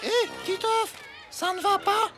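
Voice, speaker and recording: A woman's voice: very high voice, a little slow. A woman voicing a gossipy, nosy young schoolgirl in a French cartoon. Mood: nosy pity. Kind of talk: French animated comedy voice acting. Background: music.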